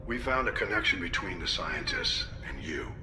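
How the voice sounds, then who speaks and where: A grave video game admiral with a low voice. His delivery is formal and military, yet highly varied in pitch. The transmission is clearly noisy. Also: huge pitch swings, normal speed, bright, forceful tone, reverberant, heavily acted. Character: grave older male fleet admiral giving a briefing.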